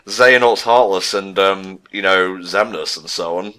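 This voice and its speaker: A man's voice: low-pitched, measured pace, bright, forceful tone. A man doing casual video game co-commentary in an explanatory, lightly bantering way. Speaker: let's play commentator.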